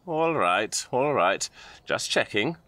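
Wildly animated fussy Tudor composer. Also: male voice, medium pitch, takes it slowly, exaggerated voice. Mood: brisk, pleased. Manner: theatrical, flustered. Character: fussy, earnest, anxious Tudor court composer. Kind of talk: historical comedy audio drama acting.

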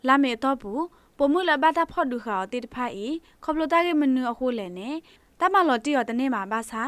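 A woman newsreader with a fairly high voice reads a scripted radio report. The recording is dry and close, with no room around the voice. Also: expressive, fairly quick, a bit bright, no echo. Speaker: news reader. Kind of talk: scripted radio news reading.

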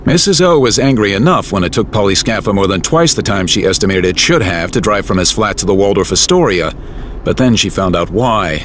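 Man, audio drama narrator, low pitch, very lively intonation, scripted. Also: a little fast, background noise.

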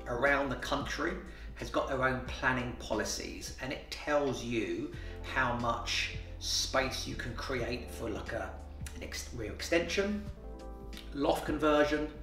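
A man's voice: medium pitch, fairly bright tone. A man, answering interview questions plainly, with fillers and restarts. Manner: plain-spoken, matter-of-fact, with repeated fillers and restarts. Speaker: renovation company boss. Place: office, indoors. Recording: roomy office sound from far off.

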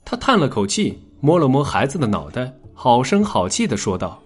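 A man narrating a dramatic scripted story in a measured, expressive storytelling manner. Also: medium pitch, husky, soft background music. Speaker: audiobook narrator.

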